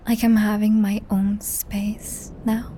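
A young woman hosting a whispered, ASMR-style chat podcast, rambling softly with giggles, her voice strained and cracked. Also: mid-range voice, little pitch movement, slow, a little hiss or hum. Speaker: podcast host and audio creator. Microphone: high-end studio microphone.

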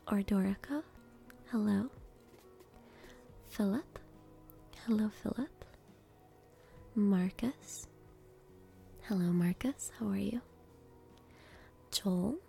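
A woman doing ASMR as a host, greeting people one by one in short, gentle phrases, moving between a breathy whisper and soft speech. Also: medium pitch, drawn-out words, long pauses, faint music behind. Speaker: host greeting viewers by name. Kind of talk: ASMR name reading.

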